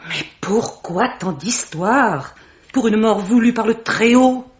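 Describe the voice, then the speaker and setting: A woman's voice: a little high, animated, slow pace. An actress playing a distraught, bitter woman on the defensive in a vintage French radio mystery, speaking emphatically. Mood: indignant. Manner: melodramatic, emphatic. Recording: dull vintage radio sound.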